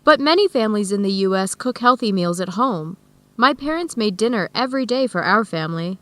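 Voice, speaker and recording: A woman playing a friendly young American in a radio drama, explaining things clearly. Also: mid-range voice, animated, a little fast, fairly bright tone, dry recording, lightly acted. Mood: reassuring, explaining. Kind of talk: scripted radio drama acting.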